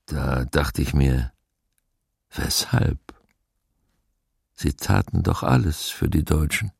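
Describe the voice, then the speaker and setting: A very deep, gravelly male witness. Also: slow pace, no echo, studio quality, exaggerated voice. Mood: grave, haunted. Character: grave male eyewitness recalling forced labour among the dead. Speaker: voice actor in a documentary radio play. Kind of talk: sombre first-person testimony, read dramatically.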